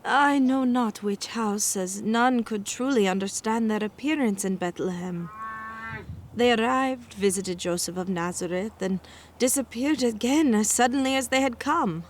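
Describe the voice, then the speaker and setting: An actress playing a wary, gentle young village mother of ancient times in an audio drama, formal and hesitant. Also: a little high, unhurried, a little hiss or hum, lightly acted. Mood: grave.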